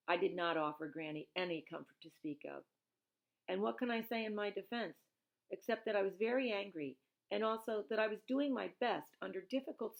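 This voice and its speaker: Low-pitched woman reading a story aloud. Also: creaky. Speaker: reader of a children's novel who pauses to explain words. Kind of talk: expressive read-aloud storytelling with informal asides.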